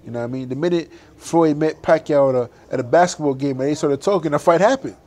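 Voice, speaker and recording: A man's voice: fairly high pitch, quick pace. Emphatic young man, boxer talking. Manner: animated, emphatic, laughs often. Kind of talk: casual interview talk.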